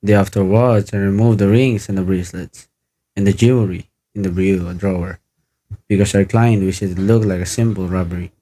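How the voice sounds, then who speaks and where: An unbothered contract killer acted by a man in a radio drama. The voice is fairly low, businesslike and matter-of-fact, offering reassurance as though the job were ordinary. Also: fairly even intonation, fairly quick, warm tone. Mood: cold, matter-of-fact. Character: businesslike, unbothered hired killer named George. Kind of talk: radio play acting.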